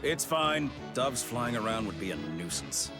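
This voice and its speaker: A man's voice: mid-range voice, very animated, a little slow. A man voicing a stern, officious city guard in a video game, brusque and authoritative. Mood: irritated. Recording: music behind the voice.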